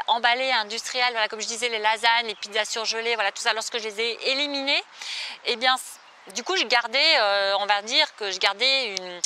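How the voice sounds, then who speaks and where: A woman's voice: fairly high pitch, sharp tone, a little hiss or hum. A French woman in her forties, a fitness and nutrition vlogger, chatting casually to camera with many fillers and restarts.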